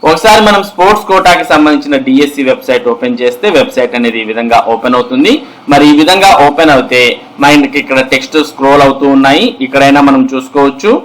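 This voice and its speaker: A man's voice: mid-range voice, quick pace, low-quality recording. A man explains news updates step by step in an instructional way, addressing viewers directly. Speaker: host of an education and job news channel.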